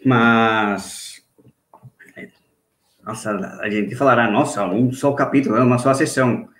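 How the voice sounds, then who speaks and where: A man from Venezuela with a Venezuelan Spanish accent, a native Spanish speaker, teaching an online church class in a halting way, with restarts and checks for agreement. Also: medium pitch, steady tone, a bit bright, echoey room. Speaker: Sunday school teacher. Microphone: video call.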